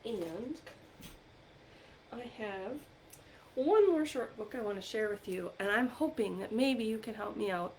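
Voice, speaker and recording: Woman, storytime librarian, very lively intonation, encouraging, talks to young children, noticeable background noise. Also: medium pitch, rough recording. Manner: encouraging, asks questions and pauses for answers. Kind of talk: interactive read-aloud and singing for young children.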